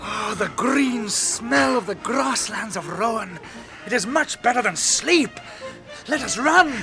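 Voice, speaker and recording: An actor playing a keen, graceful elf hunter with a lyrical, formal delivery in a radio drama. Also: male voice, very high voice, lively intonation, slow, over music, full character voice. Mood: eager.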